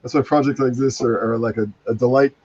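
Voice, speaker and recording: A man's voice: medium pitch, steady tone, fast, a little mellow, slightly rough, dry recording. A middle-aged man, a guest who acts and writes plays, chatting and rambling with many restarts. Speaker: guest, voice actor and playwright. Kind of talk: casual chat. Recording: dull, dry close mic.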